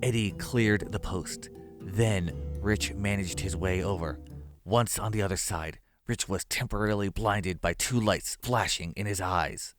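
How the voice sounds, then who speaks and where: A man's voice: deep voice, a little slow, no room sound, soft background music. A man reading scripted narration for a horror story.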